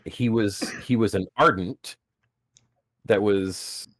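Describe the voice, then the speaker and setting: A man game master chatting casually, animated and laughing often, swearing freely, with a booming voice. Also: slow, no echo. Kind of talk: casual podcast chat.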